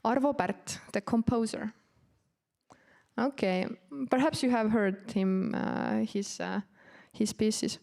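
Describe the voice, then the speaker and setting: A woman from Estonia, a native Estonian speaker with an Estonian accent, gives an informal orientation presentation to new students in a casual, hesitant way with frequent pauses. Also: medium pitch, gravelly, a little mellow.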